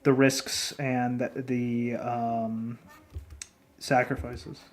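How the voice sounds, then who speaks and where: A man's voice: mid-range voice, flat delivery, extremely slow. A man who develops games, chatting loosely with his livestream viewers in a rambling way, laughing often and swearing casually. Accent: non-native accent in Japanese. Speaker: indie game developer streaming. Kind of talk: casual livestream chat, answering chat. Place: lives in Japan as a foreigner.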